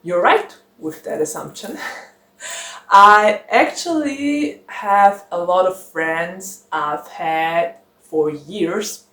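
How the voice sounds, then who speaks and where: Slow, chatty young woman. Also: fairly low pitch, lively intonation, a bit bright. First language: German. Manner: chatty, giggly, rambling with many fillers. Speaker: book channel host. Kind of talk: casual chat to camera. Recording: roomy echo.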